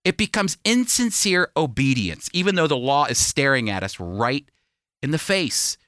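Bright-voiced male preacher, animated and emphatic. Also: a little high, moderate pace, no echo, studio quality. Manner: emphatic, repeats key phrases, rhetorical questions. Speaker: preacher and Bible teacher on a radio program. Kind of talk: sermon with scripture reading.

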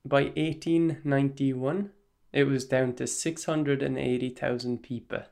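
An Irish man hosting a solo podcast, explaining his views in a measured, even-tempered way. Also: mid-range voice, creaky. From Ireland. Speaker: podcast host.

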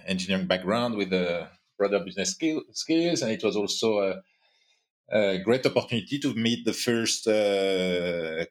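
A man from France with a French accent, a guest in a podcast interview, speaking hesitantly with many fillers. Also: fairly low pitch. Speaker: guest entrepreneur. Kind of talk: casual interview chat.

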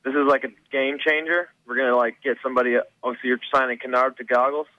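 A man's voice: mid-range voice, flat delivery, fast talker, dry recording, muffled recording. A man co-hosting a radio show, teasing and sarcastic in casual banter.

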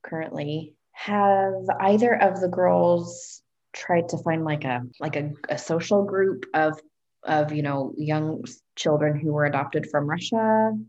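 Female interviewer, fairly low voice, encouraging and effusive, casual chat, somewhat muffled lo-fi audio. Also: measured pace, darkish tone.

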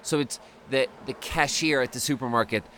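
A man's voice: medium pitch, moderate pace, a bit bright, a little hiss or hum. A man in his thirties from Sweden, hosting a podcast in casual chat. He laughs often while telling rambling anecdotes. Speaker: podcast host.

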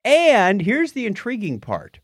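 Male podcast host, very lively intonation, close dry sound, wry and jokey while explaining news in casual banter. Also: high-pitched, a little slow, dry recording.

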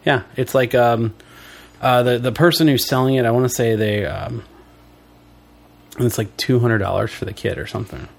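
A man's voice: mid-range voice, normal speed, gravelly, pauses often. A man co-hosting a casual podcast, wheezy from allergies, joking and chuckling as he rambles through explanations. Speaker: podcast co-host.

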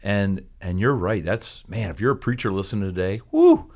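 A man's voice: fairly low pitch, expressive, normal speed, darkish tone. An American man hosting a casual ministry talk show, enthusiastic and jokey, often repeating his phrases. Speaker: podcast host.

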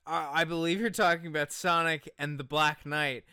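Crisp, bright male podcast co-host. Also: on the high side, steady tone, moderate pace, dry recording, clean studio sound. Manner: informal, slangy, opinionated. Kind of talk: casual podcast chat.

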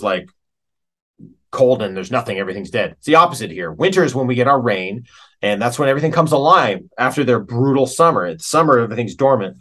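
A man's voice: medium pitch, a bit bright. A middle-aged American man chatting casually as an interview guest, enthusiastic, rambling and a little self-aware.

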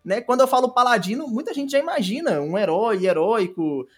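Homem brasileiro de voz bem aguda. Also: male voice, extremely high pitch, lively intonation, a little fast, slightly rough. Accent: Brazilian. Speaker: podcast guest, audio drama creator. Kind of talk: casual podcast chat. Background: music.

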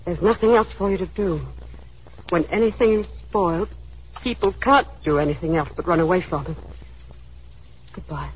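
An actress playing an anxious, proud young woman in despair, pleading and halting in a 1930s radio drama style. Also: lowish voice, close to an everyday voice. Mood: resigned, despairing. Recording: scratchy, muffled 1930s radio broadcast.

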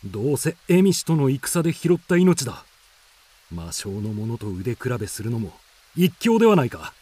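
An actor playing a bold, stubborn warrior of Heian Japan, with resolute archaic phrasing in a radio drama. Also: male voice, medium pitch, sing-song, normal speed, warm tone, husky. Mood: bold, determined.

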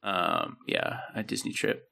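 A man's voice: deep voice, huge pitch swings, unhurried, gravelly, sharp tone. A man co-hosting a podcast, chatting casually and rambling with many fillers and restarts. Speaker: podcast co-host. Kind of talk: casual chat.

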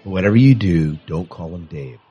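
Man, podcast host, lowish voice, informal chatty interviewing, faint music behind. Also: lively intonation, moderate pace, breathy edge, lo-fi sound. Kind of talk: casual interview chat.

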